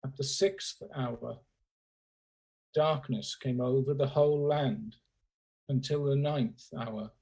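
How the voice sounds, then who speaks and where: A man giving a solemn, measured scripture reading for a church service over a video call. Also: medium pitch, steady tone, creaky, warm tone, breathy edge, poor audio. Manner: slow, measured phrasing with pauses. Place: remote, on a Zoom call. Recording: remote video call audio.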